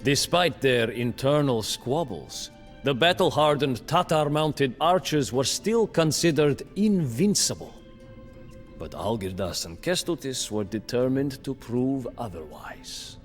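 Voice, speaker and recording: A man playing a grave, wry captive chronicler, narrating history in a measured, storytelling way for a game cutscene. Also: mid-range voice, animated, close to an everyday voice. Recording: long reverb under music. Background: music.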